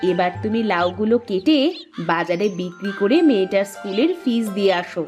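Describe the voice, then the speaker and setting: A woman voicing a caring, hopeful village housewife in a cartoon story, expressive and coaxing. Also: medium pitch, fairly quick, music in the background, lightly acted.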